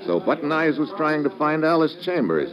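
A brash radio gumshoe, dull vintage sound. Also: male voice, on the high side, big pitch swings, normal speed, a crowd in the background. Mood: brisk, probing. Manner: clipped, slangy, wisecracking. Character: brash, impatient hard-boiled private detective, man. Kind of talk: old-time radio detective acting with first-person narration.

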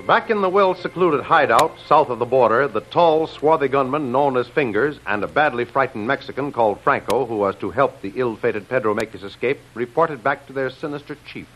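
A man's voice: mid-range voice, brisk, few pauses, natural voice. A man giving dramatic scripted narration for an old-time radio serial. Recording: dull old radio recording. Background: faint music.

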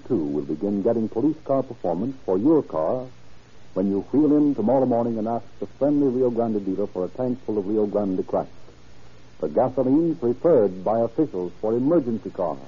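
A man narrating and announcing in a formal, deliberate, declamatory 1930s radio style. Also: mid-range voice, slightly rough. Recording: dull old 1930s radio recording.

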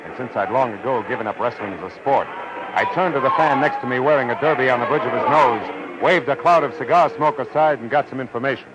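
An actor playing a hard-boiled private detective in 1940s radio style, with an American accent and a grave, sardonic, clipped delivery. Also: male voice, medium pitch, fairly quick, natural voice. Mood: wry, world-weary. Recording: noisy, muffled 1940s radio recording.

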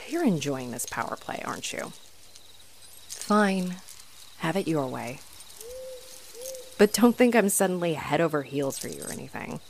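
A woman's voice: low voice, slight background noise, heavily acted. A woman playing an aggravated, haughty, flirtatious female vampire in a scripted audio roleplay, with mocking, theatrical delivery. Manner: mocking, sardonic, theatrical taunting.

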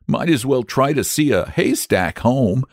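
A man's voice: lively intonation, unhurried, no echo, clean studio sound. A man voicing a tired, tearful little boy in a storybook, pleading and piteous. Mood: sobbing, aggrieved. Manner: pleading, plaintive, high-pitched whine.

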